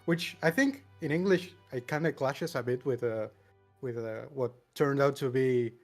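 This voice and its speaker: A man's voice: medium pitch, normal speed, faint music behind. Hesitant Argentine man in casual chat. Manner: hesitant, many uhs, laughs between phrases. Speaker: podcast panelist.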